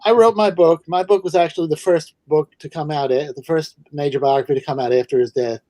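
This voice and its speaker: Man, new york accent, fairly high voice, dry close sound, video call guest, hesitant and thoughtful. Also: fairly even intonation, a little fast, dark tone, no echo. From New York City. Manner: thoughtful, hesitant, many restarts. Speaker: guest author. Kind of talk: casual video call interview.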